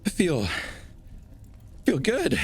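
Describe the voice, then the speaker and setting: A man playing a dazed, wondering shapeshifter in a tabletop game, speaking in a halting, incredulous way. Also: on the high side, wildly swooping pitch, slightly rough, pitched above the performer's own voice, full character voice.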